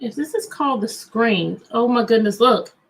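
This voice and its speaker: A woman teacher reading a picture book aloud to children, animated and coaxing, often repeating questions to draw out answers. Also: fairly high pitch, moderate pace, poor audio. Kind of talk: interactive children's read-aloud.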